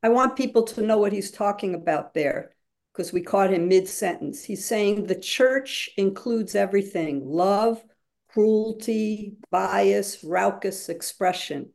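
A woman's voice: medium pitch, unhurried, creaky, darkish tone. A woman co-facilitating a workshop, explaining informally in a direct, emphatic way.

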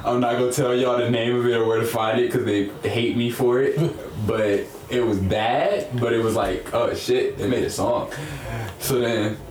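A man's voice: mid-range voice, steady tone, normal speed, a little mellow, distant mic. An eighteen-year-old man, a rapper guest on a podcast, chatting casually in a laid-back, slangy way with easy laughs. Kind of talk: casual chat. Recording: echoey room with crowd noise.

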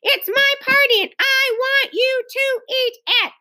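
A woman reading a children's storybook, voicing a bossy, furious preschool girl who makes shouted, drawn-out demands. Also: very high-pitched, no echo, studio quality, pitched above the performer's own voice, exaggerated voice. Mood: angry, demanding. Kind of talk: children's storybook read-aloud.

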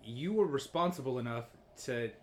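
Podcast co-host, male, mid-pitched, relaxed and teasing, still has a cough, light noise behind. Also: moderate pace. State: lingering cough. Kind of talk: casual podcast chat.

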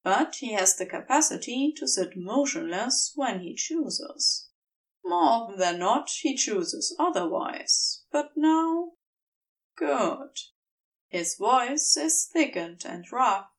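A woman narrates a story in a high, lively voice. She reads in a measured way, leaving deliberate pauses between phrases. She sits a little away from the microphone. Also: recorded from a distance, poor audio. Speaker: podfic reader. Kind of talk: scripted fiction narration.

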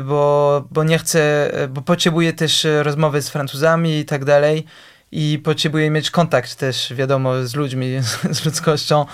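A man, a guest musician in a casual interview, who speaks haltingly and leans on lots of fillers. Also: fairly high pitch, smooth. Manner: hesitant, halting, heavy use of fillers.

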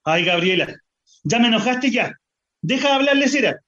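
A man's voice: very high-pitched, expressive, moderate pace, bright, forceful tone, heavily acted. A man with a Chilean accent playing an anxious, exasperated father in a radio drama. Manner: anxious, questioning. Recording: dull, lo-fi sound.

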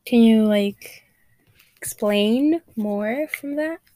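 A woman's voice: a little high, very slow, mellow, no echo. A teenage girl hosting a student podcast, reading an introduction and asking questions with frequent "like" fillers. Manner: reads intro, prompts with "like" fillers. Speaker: podcast host.

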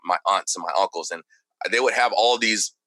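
Low, bright-toned chatty young man. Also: brisk, no room sound, poor audio. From Texas. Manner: chatty, lots of "like" and "you know". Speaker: podcast guest. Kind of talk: casual conversation.

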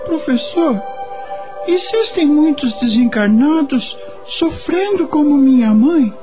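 A woman playing the gentle, forgiving spirit of a young boy, earnest and childlike, in a radio drama. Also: high-pitched, animated, slow pace. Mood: earnest, concerned. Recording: faint music under the voice.

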